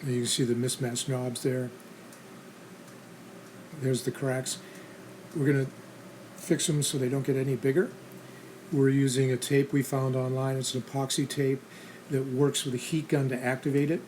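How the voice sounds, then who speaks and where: A man's voice: mid-range voice, little pitch movement, normal speed, pauses often, darkish tone. A man giving casual, practical how-to narration as the host of a restoration channel, in a plain instructional manner. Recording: clear background noise and ambience.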